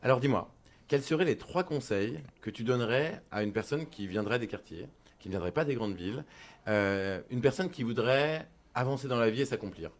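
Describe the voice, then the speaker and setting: A man's voice: mid-range voice, lively intonation, normal speed. A man hosting an interview, putting prepared questions to his guest with frequent filler hesitations. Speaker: interview host.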